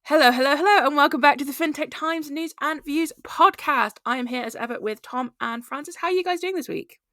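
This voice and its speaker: A woman's voice: sits high, a little fast, bright, forceful tone, no echo, clean studio sound. A woman hosting a fintech news podcast, upbeat and enthusiastic as she introduces her co-hosts. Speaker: podcast host.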